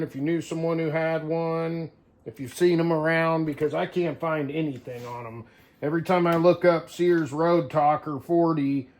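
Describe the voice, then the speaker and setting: A man's voice: a little high, a little mellow. A man hosting a casual hands-on show-and-tell video, talking informally and rambling as he thinks aloud. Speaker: video host.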